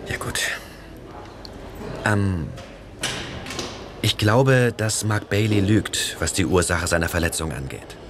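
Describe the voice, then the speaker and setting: A man's voice: low-pitched, takes it slowly, long pauses. A man playing an anxious policeman who coaxes and hesitates, in a German radio drama. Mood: hesitant, probing. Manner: hesitant, coaxing. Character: anxious, wheedling policeman angling for information. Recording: clear background ambience noise.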